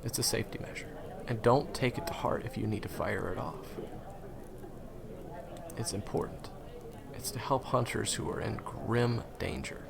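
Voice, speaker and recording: A man playing a friendly, reassuring guide and former hunter in a fantasy game audio roleplay, talking conversationally to the listener with hesitant pauses. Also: on the low side, creaky, crowd noise. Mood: reassuring, helpful.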